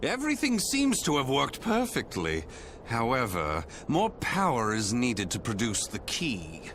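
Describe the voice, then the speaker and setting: An actor playing an eccentric, fanatical scientist in a video game, pompous and gloating, with grandiose theatrical delivery. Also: male voice, mid-range voice, huge pitch swings, unhurried, a little hiss or hum. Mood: sly, musing. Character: eccentric fanatical male scientist, grandiose, obsessed with saving humanity. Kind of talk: dramatic video game character acting. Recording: loud music behind the voice. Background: loud music.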